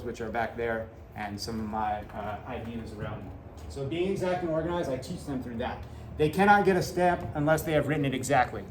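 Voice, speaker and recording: A man's voice: mid-range voice, reverberant, slight background noise. A man in his forties, a seventh grade teacher, talking earnestly to parents in a classroom with many fillers, picked up by a camera a few meters off. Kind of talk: back-to-school talk to parents. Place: classroom in front of a whiteboard. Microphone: fixed camera at a few meters.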